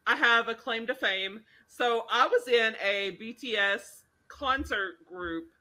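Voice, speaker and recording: A woman from the American South co-hosting a casual podcast, recalling things in a rambling, lively way. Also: a little high, slow. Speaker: podcast co-host. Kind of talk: casual chat.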